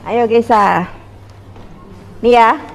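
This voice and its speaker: A woman coaches student groups informally as their teacher, gently instructing and often checking with questions. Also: a little high, very slow, gravelly. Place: indoor school classroom. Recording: echoey room with background noise.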